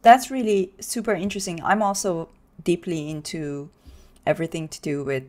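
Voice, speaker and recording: A woman's voice: fairly low pitch, normal speed, a little mellow. A woman hosting a podcast, talking conversationally as she interviews a guest.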